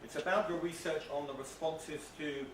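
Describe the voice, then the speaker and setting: A man with a booming voice asking a question at an academic symposium, politely self-deprecating and a little halting. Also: steady tone, a crowd in the background. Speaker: audience member asking a question. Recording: echoey, noisy hall from far off.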